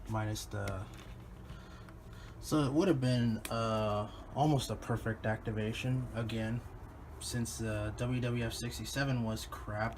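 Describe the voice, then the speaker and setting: A man's voice: lowish voice, fairly even intonation, unhurried. A man chatting casually and unscripted in an offhand, muttering way, as a hobbyist narrating his own phone video. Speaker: radio hobbyist filming videos. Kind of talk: casual unscripted vlog chat. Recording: noisy phone video recording.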